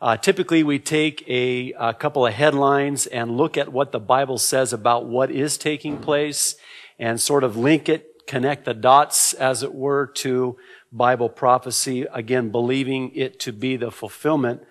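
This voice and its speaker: A middle-aged man, a native Arabic speaker, preaching as a pastor in a measured, explanatory way, repeating key words deliberately. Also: medium pitch, steady tone. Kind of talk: sermon, Bible prophecy teaching. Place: indoor church, at a lectern. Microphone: gooseneck lectern microphone.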